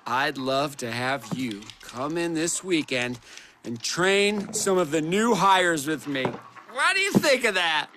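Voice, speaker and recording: A man's voice: a little high, big pitch swings, slow pace, pushed and bright, a little hiss or hum, exaggerated voice. An actor voicing a small, vain, chummy male porcupine office boss in a cartoon, with smug, corny delivery. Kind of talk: animated comedy acting.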